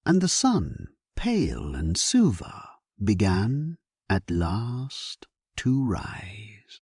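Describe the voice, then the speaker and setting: Sleep-story narrator: male actor as resolute, solemn king, low and breathy, unhurried, very dry close sound. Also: huge pitch swings, darkish tone, dry recording, full character voice. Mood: grave, resolute. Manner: solemn, measured, dramatic delivery. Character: grave, resolute English king at war, narrating his memoir. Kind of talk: scripted first-person sleep-story narration.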